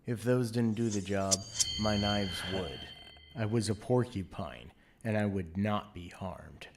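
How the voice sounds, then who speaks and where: Low-voiced man narrating a brooding drama, noisy backdrop. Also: a little slow, creaky. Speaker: narrator of an audio play. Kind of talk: theatrical first-person scripted narration.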